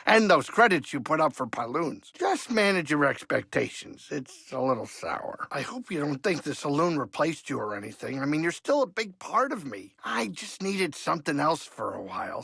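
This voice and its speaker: An actor playing a cranky but soft-hearted older alien saloon keeper in a video game, gravelly, wisecracking and folksy. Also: male voice, fairly high pitch, no echo, full character voice. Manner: grumbling, wisecracking, excitable, folksy. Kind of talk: video game character dialogue.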